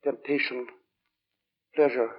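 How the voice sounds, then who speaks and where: An actor playing a fanatically pious, sinister gentleman who preaches solemnly and formally in an old radio drama. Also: mid-range voice, level tone, slow, husky. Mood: preaching. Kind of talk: 1940s radio drama acting. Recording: dull, noisy vintage radio recording.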